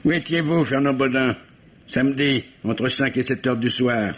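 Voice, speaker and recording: An actor playing a courteous, dryly ironic French police commissioner, questioning in measured fashion in a 1950s-style radio drama. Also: male voice, medium pitch, natural voice. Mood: probing. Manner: measured questioning with dry irony. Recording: dull vintage radio recording.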